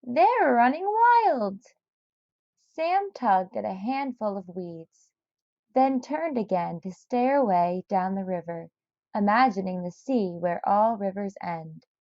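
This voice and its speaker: A woman reading a children's story aloud in an expressive narrating style. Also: mid-range voice, unhurried, no room sound, dull audio. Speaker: storybook reader.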